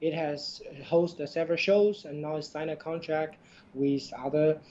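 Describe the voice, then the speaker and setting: A man whose first language is Chinese chats in hesitant, filler-heavy English as a podcast co-host. His voice is mellow and dark. The recording sounds a little dull and lo-fi. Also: fairly high pitch, fairly even intonation, a little slow. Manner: non-native English, hesitant, many fillers. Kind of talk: casual podcast chat.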